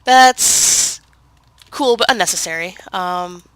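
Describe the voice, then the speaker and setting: A woman talks through her game in a loose, rambling way, full of "um"s and restarts. Her voice is congested from a cold but still bright and sharp. The sound is close and dry, with no room around it. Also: fairly low pitch, slow pace, clear, resonant tone, dry recording, poor audio. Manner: chatty, rambling, full of fillers and self-corrections. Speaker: gaming video creator. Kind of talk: casual gameplay commentary.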